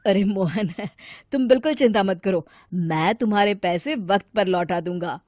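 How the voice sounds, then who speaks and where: A woman voicing a harried, placating husband and father in an animated moral story, talking in an appeasing, weary way. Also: medium pitch, moderate pace, no room sound, studio quality, natural voice. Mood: earnest pleading.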